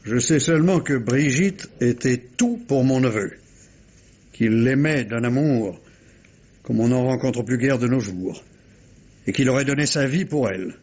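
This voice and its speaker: A muffled old radio crime drama recording presents a middle-aged gentleman with a husky voice. He speaks formally and precisely, with refined diction and the guarded manner of someone shielding his family. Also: mid-range voice, unhurried. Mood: guarded, sorrowful. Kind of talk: mid-century French radio crime drama acting.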